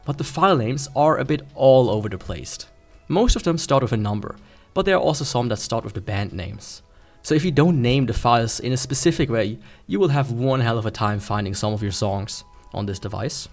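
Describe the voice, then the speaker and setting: A man's voice: mid-range voice, animated, a little fast, faint music behind. A man gives a scripted tech review in an explanatory, plain-spoken way.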